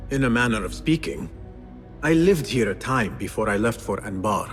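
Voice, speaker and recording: An actor playing a young male assassin in a game, calm, with a dry wit. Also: lowish voice, normal speed, soft background music.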